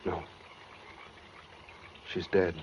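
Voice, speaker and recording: An American man playing a brooding, coldly calm escaped killer in a 1940s radio suspense drama, speaking matter-of-factly with quiet menace. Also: extremely slow. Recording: dull 1940s radio recording with noise.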